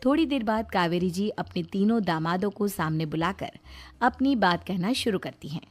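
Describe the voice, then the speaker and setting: A woman's voice: medium pitch, lively intonation, normal speed. A woman reading scripted narration for a moral story, as a storyteller. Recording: music behind the voice. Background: background music.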